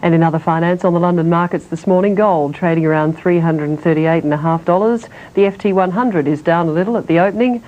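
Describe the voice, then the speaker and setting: A woman presenting news in a crisp, even newsreader delivery. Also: lowish voice, fast, hardly any pauses, narrow, tinny audio. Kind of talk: news reading.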